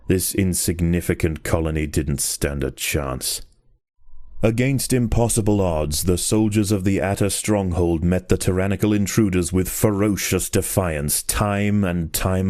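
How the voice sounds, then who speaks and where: A man performing a solemn nature documentary narrator for a game, measured and ominous in delivery. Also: low voice, dark tone, no echo, clean studio sound. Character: grave nature documentary narrator of ant wars.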